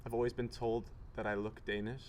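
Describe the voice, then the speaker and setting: A man's voice: mid-range voice, little pitch movement, fairly quick, darkish tone, slight background noise. A man from Canada, interviewing someone in a casual, conversational way.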